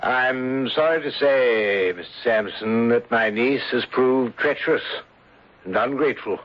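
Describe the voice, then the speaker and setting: An actor playing a plausible gentleman villain in an old-time radio drama, formal, courteous and aggrieved. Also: medium pitch, measured pace, close to an everyday voice. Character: smooth, plausible gentleman villain, falsely sorrowful. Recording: muffled old radio broadcast.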